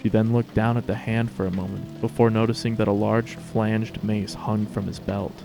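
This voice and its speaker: A man's voice: lowish voice, level tone, normal speed, vocal fry, music in the background. A man narrating a story as a scripted dramatic reading.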